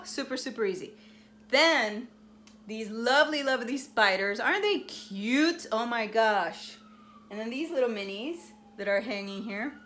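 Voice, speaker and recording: A woman's voice: a little high, fairly bright tone, a little hiss or hum. A woman whose first language is Spanish, chatting in a bubbly, gushing way as she shows off her crafts. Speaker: crafting vlogger. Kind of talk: casual show-and-tell chat.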